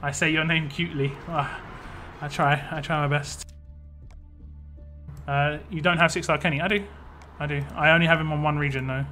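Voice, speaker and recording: A man's voice: on the high side, fairly even intonation, moderate pace, bright, forceful tone, soft background music. A man casually chatting on a live stream, reading viewer comments aloud and answering them as he plays. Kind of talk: casual live stream chat while playing a mobile game.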